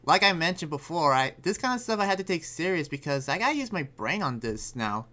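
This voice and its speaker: A man's voice: fairly high pitch, big pitch swings, fairly quick, pushed and bright. A man playing an earnest, troubled demon slayer in an improvised game roleplay, explaining himself in a hesitant, rambling way.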